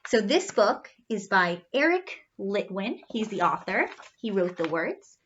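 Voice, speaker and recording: A woman reading a picture book aloud to young children in an animated, teacherly way, with singsong refrains. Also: medium pitch, unhurried, a little hiss or hum. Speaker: read-aloud storyteller for children. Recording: slightly dull, lo-fi sound.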